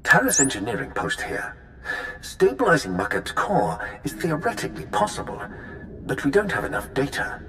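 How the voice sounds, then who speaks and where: A brisk engineer in a video game. The voice is highish and very animated, reporting from a distance over comms. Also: male voice, faint music behind, exaggerated voice. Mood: businesslike. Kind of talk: scripted video game acting.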